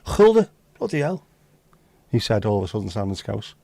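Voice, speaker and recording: A man's voice: lowish voice, very animated, brisk, gravelly, mellow, no room sound. A man hosting a casual livestream, reading out chat messages and teasing viewers with jokey, lightly sweary banter. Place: home livestream setup.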